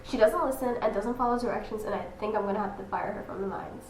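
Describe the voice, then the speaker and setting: A woman's voice: medium pitch, fast, a little mellow, natural voice. A young woman playing a grumpy, blunt dwarf psychologist who talks in an exasperated, clinical way in a student skit. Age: young adult. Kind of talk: student skit, scripted diagnostic monologue. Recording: roomy, distant camera mic with hiss.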